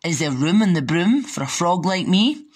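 A woman voicing a polite, eager frog with a croaking manner in a children's storybook. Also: on the low side, expressive, normal speed, darkish tone.

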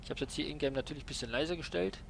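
Man, gravelly voice, casual gameplay commentary, truck engine noise behind. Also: on the high side, racing. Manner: chatty, many fillers and tag questions. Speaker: gaming YouTuber presenting a mod. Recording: noisy game sound behind voice. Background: truck engine and game ambience.

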